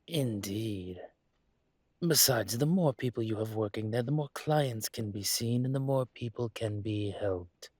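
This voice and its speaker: A man voicing a calm, courteous dragon mafia boss in a roleplay audio, speaking in a husky, measured, polite way. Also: lowish voice, no room sound, studio quality. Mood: courteous, businesslike. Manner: measured, polite, deliberate with pauses. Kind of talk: scripted roleplay audio monologue to listener.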